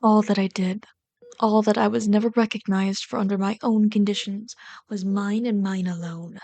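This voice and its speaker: A woman's voice: mid-range voice, steady tone, warm tone, dry recording, natural voice. A woman playing an obsessive, lovelorn villain in an ASMR roleplay, bitter and pleading, speaking intimately and dramatically with frequent drops toward a whisper. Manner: slow, intimate, dramatic delivery, often dropping to a whisper.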